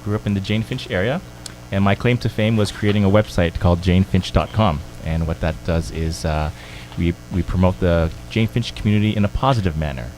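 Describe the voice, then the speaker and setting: A man from Toronto, a guest on a radio show, talking quickly and peppering his talk with "you know". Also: low voice, fairly even intonation, darkish tone, slight background noise, low-quality recording. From Toronto, Canada. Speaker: podcast producer and guest. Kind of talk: radio interview. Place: radio studio.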